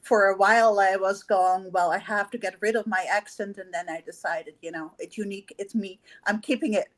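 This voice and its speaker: A woman's voice: medium pitch, fairly even intonation, brisk, poor audio. A middle-aged woman from the Netherlands with a Dutch accent, a coach explaining her work earnestly as a guest. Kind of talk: casual chat.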